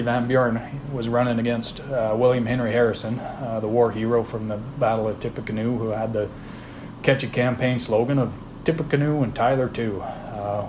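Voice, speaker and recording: Guy explaining where phrases come from, laid back, lots of uh's, some noise in the background. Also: mid-range voice. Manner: relaxed, thoughtful, frequent "uh" fillers and restarts. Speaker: host explaining word origins. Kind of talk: casual spoken lesson.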